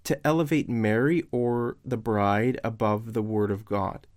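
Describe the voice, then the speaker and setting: A man with a hoarse, failing voice giving casual online Bible commentary, posing pointed questions to his audience. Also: on the low side, fairly even intonation, a little mellow. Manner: slow and deliberate. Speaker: religious video commentator.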